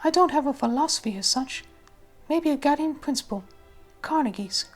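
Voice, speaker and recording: A woman's voice: sits high, animated, normal speed, soft background music, voice pushed up. A woman voicing an arrogant, enigmatic wealthy businessman in a formal, suggestive manner, as in a dramatic audiobook reading. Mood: self-assured.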